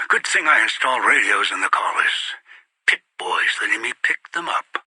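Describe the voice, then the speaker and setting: An actor playing a bitter, obsessive, paranoid old man in a video game, with a strained raspy voice and theatrical delivery. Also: fairly low pitch, sing-song, measured pace, bright, forceful tone, no echo, exaggerated voice, rough recording. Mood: irritable, scheming. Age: older. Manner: theatrical, muttering to himself, swinging into desperate outbursts. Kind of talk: dramatic video game voice acting.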